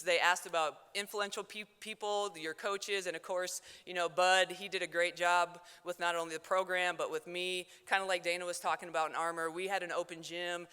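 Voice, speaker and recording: A young woman with a mixed South Dakota and Texas accent gives a loud, fast, joking acceptance speech at a banquet. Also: fairly low pitch, clean tone. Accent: mix of South Dakota and Texas. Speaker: hall of fame inductee giving acceptance speech. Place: banquet hall. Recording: hall reverb.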